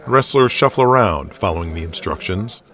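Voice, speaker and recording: A man narrating a scripted audio drama with dramatic, measured storytelling. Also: deep voice, expressive, a bit bright.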